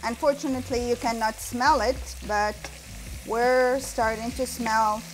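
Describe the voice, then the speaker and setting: A woman's voice: a little high, steady tone, a little slow, slight background noise, low-quality recording. A woman in her forties from Morocco, hosting a cooking show and explaining each step as she cooks in a home kitchen on a lapel mic. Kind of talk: cooking demonstration.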